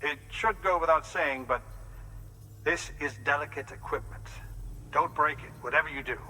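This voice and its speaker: An actor playing a calm, precise doctor who explains medical procedures and reassures patients in a game cutscene. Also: male voice, on the high side, a little hiss or hum, rough recording. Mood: explaining. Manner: measured, explanatory, slightly fussy. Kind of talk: video game cutscene acting. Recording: score music in the background.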